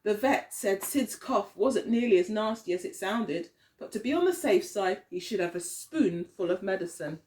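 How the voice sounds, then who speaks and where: A woman teacher reading a picture story aloud to young children, expressively, often pausing to put questions to them. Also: medium pitch, lively intonation, a little mellow.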